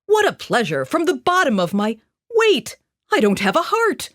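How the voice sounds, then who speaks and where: A woman's voice: high-pitched, wildly swooping pitch, moderate pace, a bit bright, dry recording, studio quality, voice pushed up, heavily acted. A woman voicing a tired, sad, courteous tin man in a children's storybook, plaintive and longing. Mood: polite, sad.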